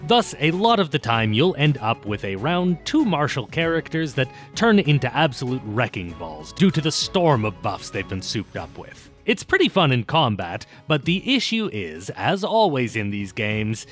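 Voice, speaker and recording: A man's voice: mid-range voice, very animated, moderate pace, few pauses, soft background music. A man narrating a scripted video essay in an informal, opinionated way, with casual asides.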